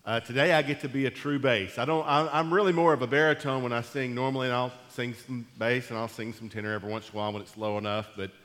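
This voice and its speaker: A man preaching to his church in a folksy, rambling way, his voice gone deep and congested from allergies. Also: steady tone, fast talker, few pauses, fairly bright tone, big-room sound. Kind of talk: sermon. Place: church sanctuary.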